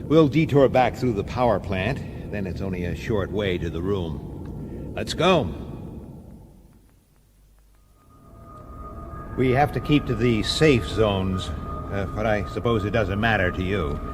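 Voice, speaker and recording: An actor playing a gruff, wary police detective in a radio drama, talking in a blunt, colloquial, urgent way. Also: male voice, on the low side, expressive, unhurried. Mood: wary, businesslike. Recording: noisy old radio drama soundtrack.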